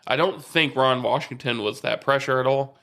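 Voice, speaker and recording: Male podcast co-host, relaxed and chatty, quick to laugh. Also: medium pitch, gravelly. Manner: easygoing, laughs often. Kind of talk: casual sports chat.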